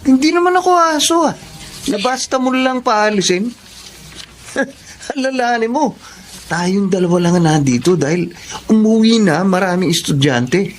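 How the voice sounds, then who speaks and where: A man's voice: high-pitched, lively intonation, moderate pace, long pauses, a little hiss or hum. A man acting an arrogant, menacing young student bully in a Filipino radio drama, with a taunting manner and a Filipino accent. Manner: taunting, sneering.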